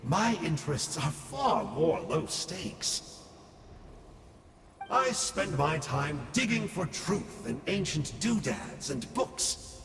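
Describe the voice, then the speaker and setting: An actor playing a smug, theatrical villain scholar in a video game, with a teasing, mock-dramatic drawl. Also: male voice, on the high side, very animated, slow pace, vocal fry, fairly bright tone, echoey room, long reverb tail, faint music behind, exaggerated voice.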